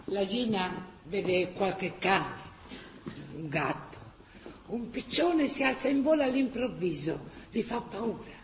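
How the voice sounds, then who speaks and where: A woman narrating a radio play in the present tense, with measured, theatrical phrasing. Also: medium pitch, big pitch swings. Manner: dramatic, measured, pausing between phrases.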